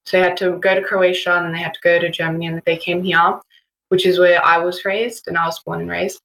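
A teenage girl with an Australian accent answers questions as a podcast guest. Her voice sits on the low side, and her talk is chatty and full of "like" fillers. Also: level tone, quick pace, a bit bright, low-quality recording. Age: teens. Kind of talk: casual interview answers.

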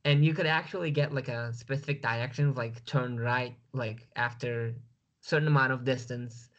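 Turkish-accented man, halting speech. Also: mid-range voice, fairly even intonation, a bit bright, muffled recording. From Turkey. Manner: hesitant, many fillers. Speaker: guest. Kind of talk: interview over a video call. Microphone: video call.

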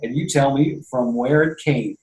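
A man's voice: mid-range voice, normal speed, a little mellow, echoey room, low-quality recording. A man hosting a casual talk show, easygoing and upbeat as he chats with his guest. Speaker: host.

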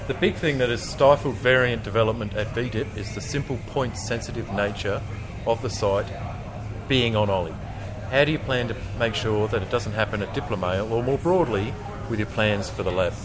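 A man's voice: lowish voice, normal speed. Podcast guy asking the questions, chatty, laughs a lot, says um plenty, music under it. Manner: chatty, laughs easily, lots of fillers. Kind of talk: casual chat.

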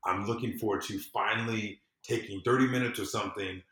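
A man's voice: fairly low pitch, steady tone, brisk, pushed and bright. A man from the American South chatting casually and conversationally as a podcast guest. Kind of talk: casual chat. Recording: echoey room sound.

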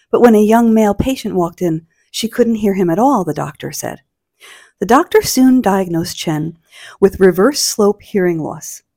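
A woman's voice: mid-range voice, dark tone. Female podcast co-host, bubbly, giggly, mid-range voice. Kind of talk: casual chat.

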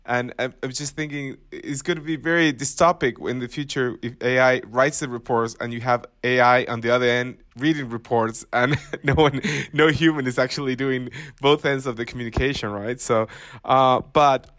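Man, first language Spanish, who thinks aloud on a podcast panel; halting delivery, middle pitch. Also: steady tone, fairly bright tone. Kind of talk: conversational discussion.

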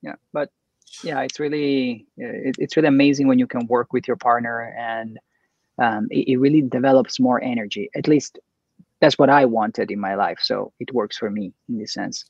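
A man's voice: medium pitch, steady tone, normal speed, no echo, lo-fi sound. A middle-aged man from Italy with an Italian accent, talking about his career and marriage as a guest in a casual interview, enthusiastic and rambling. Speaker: guest, entrepreneur and producer.

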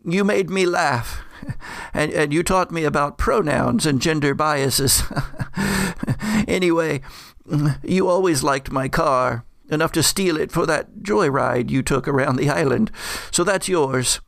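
A man's voice: on the high side, measured pace, vocal fry, fairly bright tone, no echo. A man playing a dying rich old man who rambles through his will with dry, blunt asides. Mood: fond, wry.

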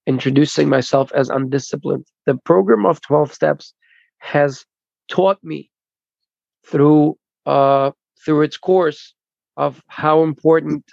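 A man with a somewhat high, gravelly voice interprets for a speaker, pausing and restarting his phrases. The sound is dry and dull, like lo-fi video call audio. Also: a little mellow, dry recording. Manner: halting, repeats and restarts phrases. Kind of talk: consecutive interpreting at a recovery meeting.

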